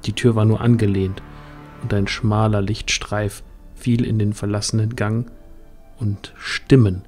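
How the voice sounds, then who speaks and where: Low-voiced male storyteller over soft music. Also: little pitch movement, unhurried. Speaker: narrator. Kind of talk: scripted audio drama narration.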